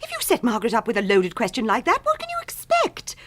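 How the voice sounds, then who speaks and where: An actress playing a flippant but affectionate wife in a radio drama, with a playful, expressive manner. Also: female voice, a little high, fast talker, no echo. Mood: teasing. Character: flippant, teasing, affectionate wife at a card table.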